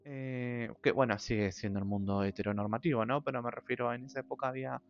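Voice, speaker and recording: A man with an Argentine accent, hosting his channel in a thoughtful, hesitant personal monologue, pausing often on long fillers. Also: mid-range voice, fairly even intonation. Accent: Argentine Spanish. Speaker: channel host. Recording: dull lo-fi audio with faint music.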